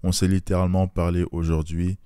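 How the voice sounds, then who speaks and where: A man's voice: low-pitched, flat delivery, brisk, dark tone, clean tone, no room sound, studio quality. A man hosting a podcast, asking earnest probing questions and adding disclaimers. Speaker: podcast host. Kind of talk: podcast interview.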